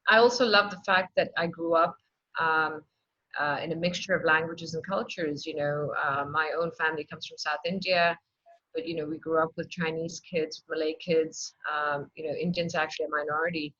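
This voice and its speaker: A woman's voice: deep voice, steady tone, normal speed. A woman in her sixties from Singapore, an entrepreneur answering interview questions enthusiastically with lots of fillers and restarts. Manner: many fillers and restarts, laughs easily, warm enthusiastic. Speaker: entrepreneur guest. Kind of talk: candid interview conversation. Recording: dull lo-fi sound.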